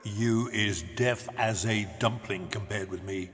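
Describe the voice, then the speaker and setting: An actor playing a gentle, childlike old giant, mangling his grammar and savouring invented words in a children's audio drama. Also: fairly low pitch, fairly even intonation, a little slow, in a large hall. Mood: proud, wondering.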